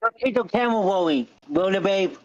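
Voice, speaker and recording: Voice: mid-range voice, animated, fairly quick, lightly acted. A performer reading an obnoxious, teasing young male content creator in an amateur table read, with muffled online audio.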